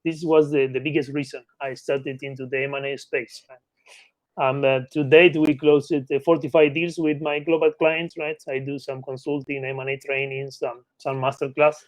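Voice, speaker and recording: A man's voice: mid-range voice, flat delivery, normal speed, no echo. A man from Argentina with an Argentine Spanish accent explains his work conversationally as an interview guest, often ending points with short tag questions. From Patagonia, Argentina. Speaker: interview guest, mergers and acquisitions consultant.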